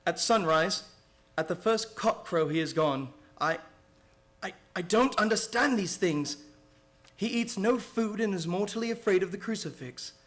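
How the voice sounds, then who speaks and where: A man acting an anxious gentleman held prisoner, speaking in earnest, formal, dramatic fashion in an old-time radio horror play. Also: sits high, lively intonation, normal speed. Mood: fearful, haunted. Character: anxious English gentleman, prisoner narrating his diary.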